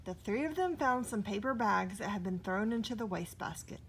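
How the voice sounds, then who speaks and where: A woman's voice: medium pitch, animated, brisk, rough recording. A woman narrating a children's story expressively, recorded with noise behind her. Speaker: storybook reader.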